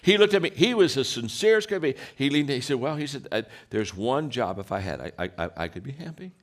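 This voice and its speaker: A deep-voiced man gives a motivational talk full of personal stories. His pitch swings widely as he acts out quotes, and he often restarts his sentences. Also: lively intonation, fast. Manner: storytelling with repeated restarts and acted quotes. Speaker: leadership speaker.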